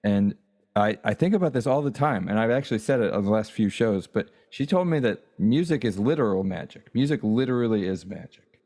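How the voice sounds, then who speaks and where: A man's voice: lowish voice, lively intonation, fairly quick, dark tone. A man hosting a music podcast, chatting in an easygoing, enthusiastic way with his guest. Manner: easygoing, enthusiastic, laughs easily. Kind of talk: casual conversation.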